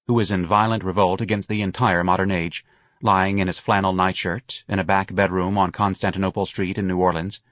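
A male audiobook narrator with a fairly low voice reads literary prose aloud. His delivery is measured and expressive, with articulate phrasing, and the recording is close and dry. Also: no echo.

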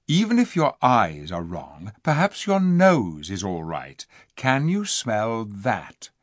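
Brief: gentle, earnest young girl, as a man reads her, voice lifted high and pleading, lively tune. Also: takes it slowly, no echo, clean studio sound, heavily acted. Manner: raised pitch, pleading, earnest.

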